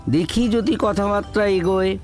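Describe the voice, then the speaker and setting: A woman's voice: mid-range voice, moderate pace, darkish tone, faint music behind, lightly acted. A woman playing a humble village father who greets the priest in a fawning, polite way. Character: humble village man, father seeking a match.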